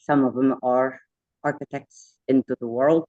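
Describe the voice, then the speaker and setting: A woman's voice: very deep voice, steady tone, a little slow. A woman who is an architect and educator introduces herself in an online meeting. She rambles in a friendly way and often corrects herself. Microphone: video call. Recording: muffled video call audio.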